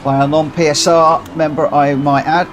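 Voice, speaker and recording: A man's voice: on the high side, little pitch movement, normal speed, a little hiss or hum. A man with a cold and a runny nose streaming a racing game, thinking aloud in rambling commentary and correcting himself as he goes. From United Kingdom.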